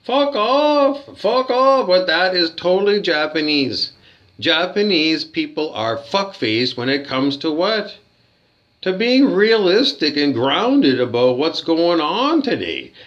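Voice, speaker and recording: A man's voice: high-pitched, sing-song, takes it slowly, a bit bright. Rambling, swearing man on a lo-fi recording. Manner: rambling, hesitant, repeats himself, swears when riled. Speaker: hotel guest reviewing his loot bag. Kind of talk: rambling complaint rant. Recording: dull lo-fi recording.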